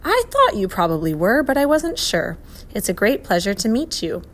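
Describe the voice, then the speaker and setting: Woman reading as a brisk storybook professor, courteous, decisive, slightly raised voice. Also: medium pitch, very animated. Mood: polite. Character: eager, courteous male professor.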